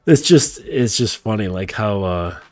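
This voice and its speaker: A man's voice: lowish voice, lively intonation, measured pace, darkish tone, dry recording, faint music behind. A man hosting his show while congested from a cold, talking nasally in a rambling casual way with lots of fillers and restarts. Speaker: podcast host. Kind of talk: casual chat.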